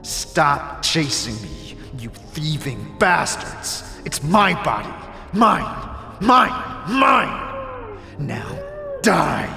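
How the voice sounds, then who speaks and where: Give a menacing, possessive madman , put on by a man ranting threats in an audio drama. The pitch is raised and swings wildly, shrill and frantic, with music behind. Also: wildly swooping pitch, extremely slow, creaky, bright, forceful tone, roomy sound, in a large hall, exaggerated voice. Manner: menacing, escalating, shrill delivery.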